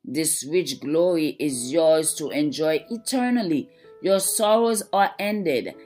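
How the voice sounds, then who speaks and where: A woman reads a scripted devotional in a fairly low voice. Her delivery is slow, measured and reverent, with faint music behind her. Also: a little mellow. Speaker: devotional reader. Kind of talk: devotional reading of scripted religious text.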